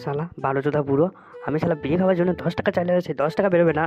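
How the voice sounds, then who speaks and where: A woman's voice: deep voice, rapid-fire, mellow, close to an everyday voice. A woman voicing a grumpy, lazy, abusive father in a comedy cartoon, scolding and cursing. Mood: resentful, grumbling. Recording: muffled lo-fi audio with music.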